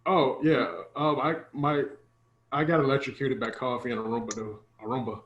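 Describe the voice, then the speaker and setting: A man playing a hapless, sheepish everyman in improvised comedy, awkward and halting, recorded with a roomy, dull sound. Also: mid-range voice, flat delivery, fairly bright tone, pitched below the performer's own voice, close to an everyday voice. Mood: sheepish, chatty. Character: hapless, sheepish dead everyman named John.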